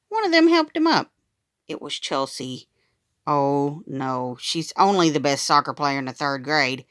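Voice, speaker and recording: A woman reading a children's book aloud in an expressive, dramatic storytime style. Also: low voice, no room sound, studio quality. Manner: animated, dramatic. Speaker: storytime reader.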